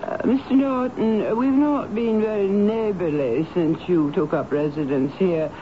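An actress playing a grand, dreamy, tipsy former stage star, dramatic and hesitant, in a 1970s radio drama. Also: female voice, mid-range voice, a little slow, natural voice. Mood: imperious. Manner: dramatic, hesitant with many ums. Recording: muffled, noisy old radio broadcast.

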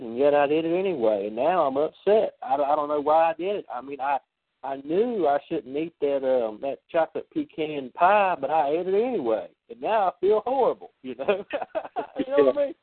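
A man talks through spiritual ideas with animated energy and acts out the voices he quotes. His voice comes over a thin, phone-like line. The sound is dry and close. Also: a little high, moderate pace, no room sound. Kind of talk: spiritual discussion.

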